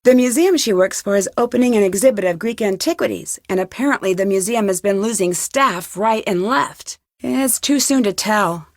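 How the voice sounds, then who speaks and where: A young American woman voicing a polite, inquisitive amateur detective in a scripted adventure game, composed and clearly spoken. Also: mid-range voice, moderate pace, hardly any pauses, a little mellow, no room sound, clean studio sound, natural voice. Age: young adult.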